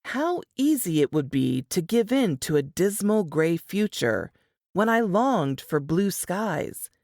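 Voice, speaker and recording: Low, creaky woman narrating a novel. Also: very animated, takes it slowly, a little mellow, no room sound, studio quality. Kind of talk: scripted first-person audiobook narration of a suspense novel.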